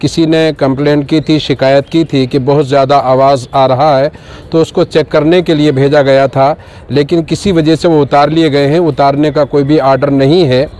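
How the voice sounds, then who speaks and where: A man speaking to reporters outdoors, giving an earnest and steady appeal. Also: on the high side, moderate pace. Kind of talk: press statement.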